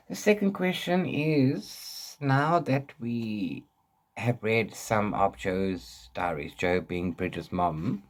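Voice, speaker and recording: A man's voice: on the low side, a bit bright. A man talking casually as a book vlogger, rambling and often interrupting himself with repeated words. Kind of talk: casual book chat to camera.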